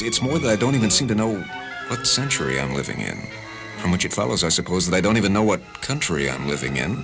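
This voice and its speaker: A man's voice: sits low, gravelly, exaggerated voice. An actor playing an erratic, self-dramatising man in an audio story, theatrical and brooding, prone to flaring outbursts. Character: erratic, self-dramatising dentist quarrelling with his fiancée. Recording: music playing behind the voice.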